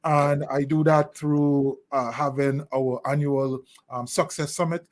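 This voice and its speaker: A middle-aged man with a Jamaican accent giving thoughtful, deliberately phrased answers as an interview guest. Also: on the high side, flat delivery. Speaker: interview guest, leadership consultant.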